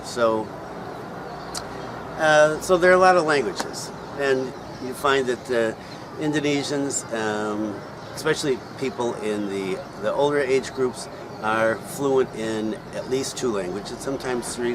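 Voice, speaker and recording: A middle-aged man explaining things casually to viewers, pausing often with ums and uhs. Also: medium pitch. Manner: hesitant, many ums and uhs. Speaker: vlogger answering viewer questions. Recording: loud outdoor traffic noise.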